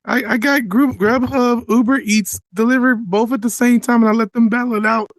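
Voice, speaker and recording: Shy male caller with a sweet, high voice who stumbles over his words on a dry line. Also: steady tone, no room sound. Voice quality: soft sweet voice. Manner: shy, halting and stammering. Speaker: call-in listener. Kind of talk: comic call-in bit.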